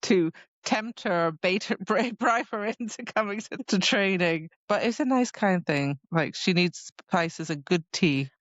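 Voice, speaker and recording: A woman's voice: mid-range voice, animated, a little slow, few pauses, no room sound, lo-fi sound. A woman co-hosting a podcast, chatting casually about a book in a giggly, conversational retelling style. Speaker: podcast co-host. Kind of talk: casual book discussion chat.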